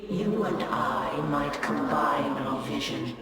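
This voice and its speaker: A man playing a calm, mystical alien prophet in a video game, with measured, formal speech. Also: on the high side. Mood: earnest, mystical. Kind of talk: dramatic video game acting. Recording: heavily echoed, distant, loud music.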